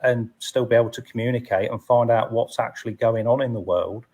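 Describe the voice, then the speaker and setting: A man's voice: mid-range voice, fairly even intonation, brisk, a little mellow, lo-fi sound. A man hosting a casual chat in an agreeable, hesitant way. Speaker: host.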